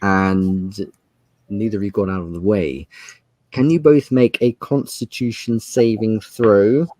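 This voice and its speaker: A man's voice: fairly low pitch, unhurried, dark tone, dry recording. A man narrating a tabletop role-playing game as game master, describing scenes and asking the players for rolls.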